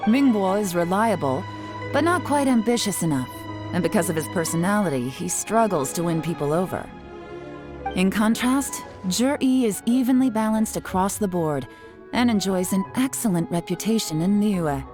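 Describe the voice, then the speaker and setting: A woman's voice: medium pitch, lively intonation, normal speed, music in the background, lightly acted. A woman playing a cunning, composed intelligence chief in a video game, speaking with poised assurance. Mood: composed, official.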